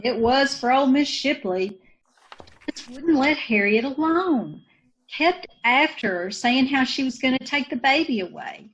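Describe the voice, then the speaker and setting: A woman's voice: on the high side, highs cut off. A woman acting a grieving, bitter sister in an old-time radio drama, with plain folksy speech and repeated phrases. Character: grieving, bitter sister of a committed young mother.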